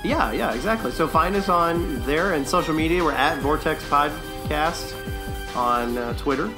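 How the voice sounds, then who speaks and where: A man's voice: a little high, animated, a little slow, over music. An American man co-hosting a podcast, talking in casual fan review chat. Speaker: podcast co-host.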